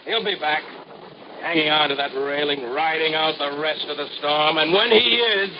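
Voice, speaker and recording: A muffled, hissy old radio recording carries a man acting a gloating madman killer. His fairly high voice threatens and taunts like a villain who holds all the cards. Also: normal speed, natural voice. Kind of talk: old-time radio crime drama acting.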